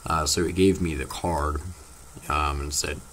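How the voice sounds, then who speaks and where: A teenage boy, slightly hoarse from losing his voice, chatting casually to his viewers in a rambling, halting way full of fillers. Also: rumbling low, steady tone, a bit bright, a little hiss or hum. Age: teens. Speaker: vlogger. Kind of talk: casual vlog chat to viewers.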